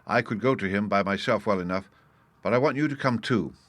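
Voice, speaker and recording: A man reading aloud, voicing a bright, earnest little fairy girl in a storybook. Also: fairly low pitch, brisk, no room sound, lightly acted. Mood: lively.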